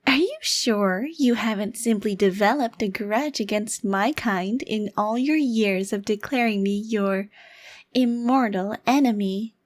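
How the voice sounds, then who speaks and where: A woman's voice: medium pitch, dry recording, lightly acted. A woman playing a smug, taunting, youthful-looking vampire crime lord in an ASMR roleplay, with a theatrical, condescending delivery. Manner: theatrical, condescending, formal wording with drawn-out emphasis. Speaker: audio roleplay performer.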